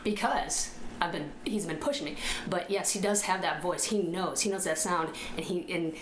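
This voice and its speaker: A woman in a band, chatting casually in an interview and telling lively stories, her voice jumping up when she gets excited. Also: lowish voice, moderate pace. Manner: animated storyteller, voice jumps up when excited. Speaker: band member and singer. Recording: echoey room with background noise.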